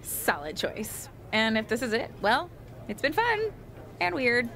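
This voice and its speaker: Wry interviewer, highish lively voice, chatty, probing, video game cutscene, faint ambience. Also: female voice, measured pace, slight background noise, heavily acted. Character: wry, chatty female wrestling documentary interviewer.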